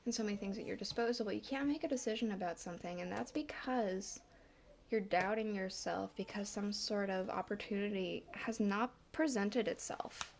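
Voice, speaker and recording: A woman giving a casual tarot reading, still congested, with a slight cough and a bit winded, talking in a relaxed, chatty way with many fillers. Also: mid-range voice, moderate pace, a little hiss or hum. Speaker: tarot reader.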